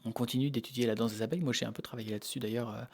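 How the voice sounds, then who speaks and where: Fast-talking, hesitant man from Normandy. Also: medium pitch, fairly even intonation, vocal fry, a little mellow. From Normandy, France. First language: French. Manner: hesitant, often repeating words as he explains. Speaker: interview guest, animal behaviour researcher. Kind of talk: informal interview answers.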